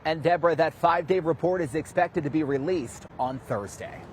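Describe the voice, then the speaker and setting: A man's voice: a little high, a little fast. A man with an American accent delivering a scripted television news report from the field. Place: outdoors, live report outside police precinct. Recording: faint outdoor background noise.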